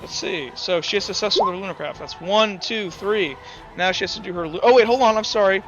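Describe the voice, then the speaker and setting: A man's voice: high-pitched, fairly quick, a bit bright, lo-fi sound. A man running a tabletop game as game master, rambling with frequent restarts.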